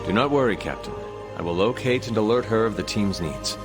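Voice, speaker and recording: Casting: male actor as grave old-world game warrior, low voice, formal and courteous, set in a reverberant hall. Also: lively intonation, fairly quick, music in the background, lightly acted. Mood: solemn, courteous. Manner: formal, archaic phrasing without contractions. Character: solemn, courteous ancient warrior hero. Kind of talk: video game cutscene acting.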